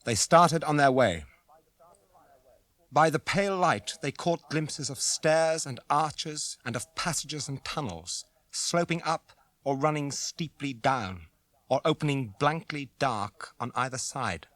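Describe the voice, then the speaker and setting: Male radio drama narrator, slow grave delivery, mid pitch, very dry studio sound. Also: expressive, a bit bright, no echo. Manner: measured, grave.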